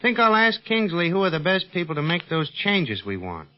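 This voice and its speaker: An actor playing a jovial, slangy sidekick in a 1930s radio adventure serial, talking informally. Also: male voice, sits high, very animated, moderate pace. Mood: brisk. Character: breezy, folksy male sidekick pilot, slangy, jovial. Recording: muffled 1930s radio recording.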